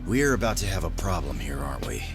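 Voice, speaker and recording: A man's voice: sits low, very animated, quick pace, vocal fry, music in the background, full character voice. An actor playing an arrogant, officious security police officer in a video game, condescending and menacing as he gives orders. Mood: threatening. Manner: condescending, commanding.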